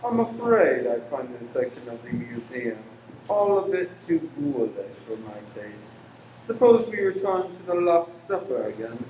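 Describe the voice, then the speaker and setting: Creepy genteel villain, played by a woman, extremely low, dark, slow and formal, echoey radio-play sound. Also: sing-song, heavily acted. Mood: creepy, polite. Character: sinister, genteel, squeamish male lodger, secretly a killer. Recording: roomy, echoing, faint background noise.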